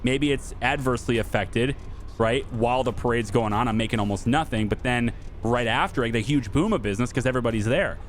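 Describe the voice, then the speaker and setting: A man's voice: mid-range voice, fast talker, slight background noise. A man hosting a gaming video, talking casually and off the cuff to viewers in a chatty, rambling way. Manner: chatty, rambling, thinking aloud, addressing viewers and developers directly.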